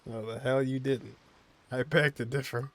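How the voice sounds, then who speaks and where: A man's voice: mid-range voice, fairly even intonation, moderate pace, noisy background. Casual, slangy man streaming a game. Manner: slangy, teasing. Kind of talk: casual gameplay commentary.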